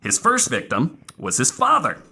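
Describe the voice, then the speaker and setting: A man hosting a podcast, telling a true story casually in an informal, halting way with some self-corrections. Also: medium pitch, huge pitch swings. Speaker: podcast host. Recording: faint background noise.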